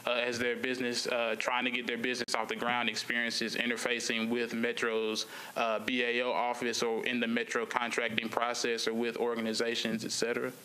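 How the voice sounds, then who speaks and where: A young adult man moderating a public hearing in a measured, procedural way. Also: medium pitch, monotone, sharp tone, smooth, poor audio. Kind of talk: formal meeting moderation. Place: municipal hearing room. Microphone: podium microphone.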